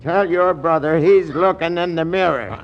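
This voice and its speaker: A man's voice: on the high side, normal speed, close to an everyday voice. An actor playing a folksy, rambling middle-aged American father and crackpot inventor in a radio comedy. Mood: grumbling. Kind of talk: 1940s radio comedy acting. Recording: muffled 1940s radio recording.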